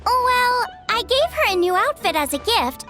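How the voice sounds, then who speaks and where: Anime game role: bubbly, caring teenage girl, very high and lively, music behind. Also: moderate pace. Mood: playful. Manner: bubbly, breezy, youthful. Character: perky, friendly teenage girl, caring festive friend. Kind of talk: anime video game voice acting.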